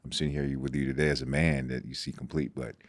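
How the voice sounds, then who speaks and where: Very deep, gravelly Nigerian-born man. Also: sing-song, fast talker, no room sound. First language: Igbo. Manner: reflective, with many restarts and repeated words. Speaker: guest. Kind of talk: casual interview talk.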